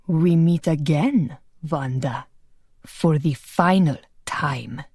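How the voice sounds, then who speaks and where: A woman voicing a cruel male vampire villain who speaks slowly and low with a gloating tone. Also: darkish tone, slightly rough, dry recording, studio quality, heavily acted. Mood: menacing.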